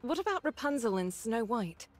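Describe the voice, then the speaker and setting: An actress playing an earnest, scholarly young android researcher in a video game, speaking in a measured, precise way. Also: female voice, a little high, sing-song. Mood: earnest, composed. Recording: dry studio voice, faint game music.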